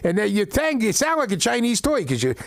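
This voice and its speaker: A middle-aged man doing an open mic stand-up set, rambling through stories with stammered restarts and deadpan complaints. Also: high voice, brisk, creaky, dry recording, clean studio sound. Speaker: stand-up comedian. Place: comedy club open mic.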